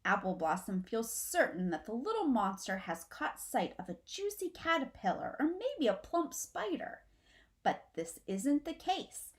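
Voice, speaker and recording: Lively middle-aged woman reading a children's story. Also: a little high, measured pace. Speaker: teacher reading aloud. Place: small furnished room at home, indoors.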